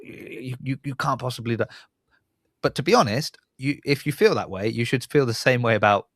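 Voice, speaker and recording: A man's voice: mid-range voice, normal speed, a bit bright, no echo, clean studio sound. A man hosting a casual podcast interview, thinking aloud and rambling as he rephrases mid-sentence.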